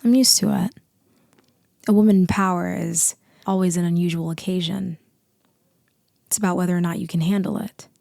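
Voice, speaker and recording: A woman playing a dominant, seductive boss in an intimate ASMR roleplay, with a deep, husky, breathy voice that often drops into whispers. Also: fairly even intonation, a little mellow, no room sound, studio quality. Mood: commanding, teasing. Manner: slow, deliberate, often dropping into whispers.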